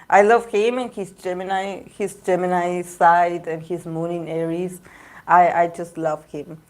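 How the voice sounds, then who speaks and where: Chatty woman, lowish voice. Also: a little mellow. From Argentina. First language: Spanish. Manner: chatty, repeats and restarts words, laughs often. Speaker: tarot reader livestream host. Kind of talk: casual livestream chat with viewers.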